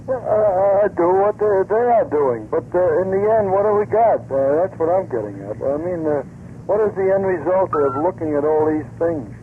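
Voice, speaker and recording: A man calling a vintage radio show by telephone, talking casually in a halting, sceptical but persistent way. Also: fairly high pitch, big pitch swings, vocal fry. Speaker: phone-in caller. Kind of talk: casual opinion on a radio call-in. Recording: tinny phone line on vintage radio, noisy.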